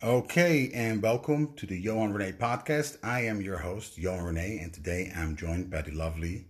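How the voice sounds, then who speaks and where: A man from the Netherlands hosting a casual podcast discussion, talking in a somewhat halting, hesitant way. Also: low voice. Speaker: podcast host.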